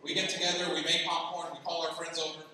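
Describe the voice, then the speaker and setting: A Palestinian man doing a lively stand-up set, teasing the crowd and jumping up in pitch when excited. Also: quick pace. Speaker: stand-up comedian. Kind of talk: stand-up comedy set at a gala. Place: large banquet hall. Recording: far-off mic in an echoey banquet hall with noise.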